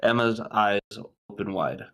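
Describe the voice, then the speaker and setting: A man giving scripted narration, reading screenplay stage directions in a measured, clipped way. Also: fairly low pitch, a bit bright.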